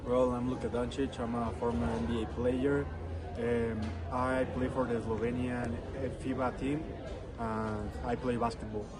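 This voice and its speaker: A man's voice: mid-range voice, flat delivery, unhurried. A man answering interview questions conversationally, with hesitant, non-native English phrasing. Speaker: interview guest. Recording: busy outdoor crowd noise.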